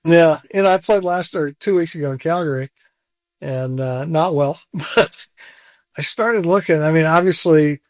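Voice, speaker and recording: A man in his sixties chats as an interview guest, candid and self-deprecating, laughing now and then. His voice sits a little high for a man. The recording is dry and close, with no room sound. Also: moderate pace, no room sound. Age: 60s. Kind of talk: casual interview chat.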